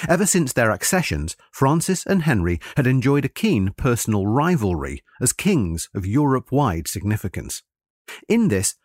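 A man reading scripted history narration in a measured, formal manner, as for an audiobook. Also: medium pitch, dry recording, studio quality. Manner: measured, formal read-aloud delivery.

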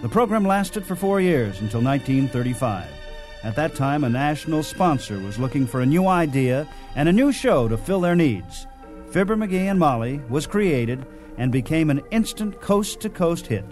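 A man hosting a radio program, reading scripted narration in a measured announcer's delivery. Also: a little high, big pitch swings, a little mellow. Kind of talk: scripted narration, old-time radio presentation. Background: music.

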